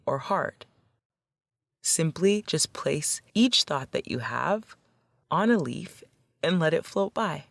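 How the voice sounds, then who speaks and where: A woman leading a guided meditation as a facilitator, speaking in a gentle, measured, instructional way. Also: deep voice, dry recording.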